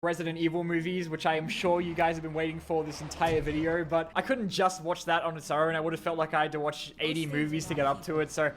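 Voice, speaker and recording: A man with a notably high voice races through casual review commentary. His delivery is dry and sardonic, with easy swearing dropped in along the way. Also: little pitch movement, fast talker, hardly any pauses, slight background noise. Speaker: video reviewer.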